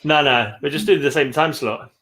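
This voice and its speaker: A man's voice: fairly high pitch, normal speed, a bit bright. A man co-hosting a book show in casual chat, rambling and often repeating his phrases. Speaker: co-host of a book discussion show.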